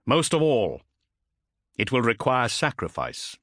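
A man voicing a stern, resolute warrior captain in an audiobook, speaking formally with measured, emphatic oratory. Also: medium pitch, huge pitch swings, a bit bright, dry recording, studio quality, pitched above the performer's own voice. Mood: grave, resolute.

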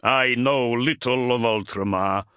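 A man voicing a gruff, sceptical, battle-hardened warrior in an audiobook, with a growling, blunt and forceful delivery. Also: medium pitch, a little slow, no echo, studio quality, pitched above the performer's own voice, natural voice. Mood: gruff, questioning.

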